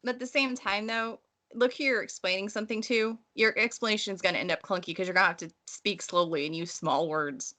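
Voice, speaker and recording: A woman's voice: medium pitch, steady tone, fairly quick, few pauses, sharp tone. A woman co-hosting a casual, analytical book discussion in a wry, irreverent way. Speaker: podcast co-host discussing a novel.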